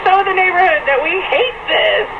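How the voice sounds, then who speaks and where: A high-pitched woman chats casually on the radio. Her voice comes through a small speaker, muffled and clearly noisy. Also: lively intonation, moderate pace. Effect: heard through a small radio speaker. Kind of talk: radio interview remarks, casual.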